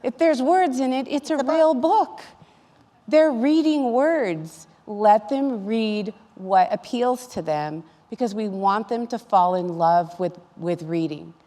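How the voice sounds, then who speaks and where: A woman's voice: fairly high pitch, takes it slowly, mellow. A woman speaking as an educator in a panel discussion, explaining things in an earnest, advocating way. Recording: reverberant hall sound.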